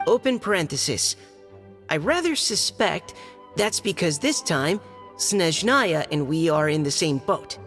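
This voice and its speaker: Earnest young boy knight for a game: unhurried, polite, formal reading, reverberant hall, music behind. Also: lowish voice, animated. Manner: careful, formal, hesitant reading aloud. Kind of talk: video game voice acting, reading a letter. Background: background music.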